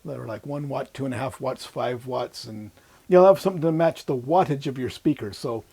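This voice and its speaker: Older man, creaky teaching voice. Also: mid-range voice, normal speed, mellow. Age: 60s or older. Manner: informal, thinking aloud while drawing. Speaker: electronics instructor. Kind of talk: explanatory whiteboard lecture. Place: small indoor room at a whiteboard. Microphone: lapel mic.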